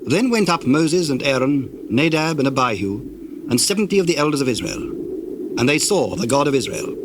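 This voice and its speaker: A man giving a formal, measured narration of dramatized scripture. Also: medium pitch, big pitch swings. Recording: very noisy old cassette tape.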